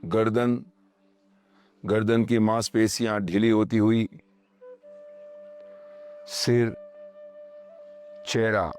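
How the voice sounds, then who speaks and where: Slow, low-voiced man guiding meditation. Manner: calm, measured, short phrases with pauses. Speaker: meditation guide. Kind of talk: guided meditation, slow instructional narration. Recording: voice over background music. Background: meditation music.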